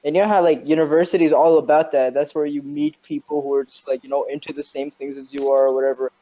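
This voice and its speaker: A man's voice: a little high, fairly even intonation, fast, mellow. Hesitant young man, dull lo-fi sound. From Saudi Arabia. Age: young adult. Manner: hesitant, rambling with many fillers, teasing. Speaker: podcast co-host. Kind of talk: casual chat.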